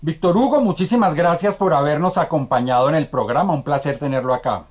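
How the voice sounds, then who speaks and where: A woman's voice: low-pitched, brisk, noisy background. A Colombian woman hosting a TV programme, asking questions in a formal, courteous, polished broadcast style. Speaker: TV host, interviewer.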